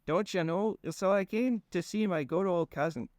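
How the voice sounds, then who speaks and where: A man's voice: medium pitch, normal speed, no room sound, clean studio sound, natural voice. A man voicing a shifty, rambling male werewolf cousin with a put-on folksy accent. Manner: folksy rambling, keeps saying "don't you know".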